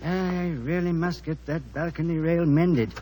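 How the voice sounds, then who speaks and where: An actor playing a touchy, jealous middle-aged British husband in an old radio drama, with a prickly, sardonic manner. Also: male voice, on the high side, a little slow, mellow, rough recording. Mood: suspicious, edgy. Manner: prickly, sardonic, clipped asides. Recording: vintage radio recording.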